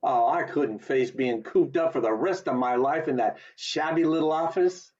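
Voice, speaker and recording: A man playing a restless, eager young small-town man with big dreams in an amateur radio play, earnest and expressive. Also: a little high, normal speed, close to an everyday voice. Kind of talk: community theatre radio play acting. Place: remote video call. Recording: dull lo-fi video call audio.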